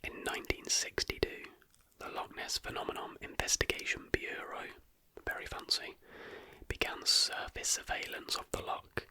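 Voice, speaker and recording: An ASMR host reading a script in a soft whisper, with careful, deliberate phrasing.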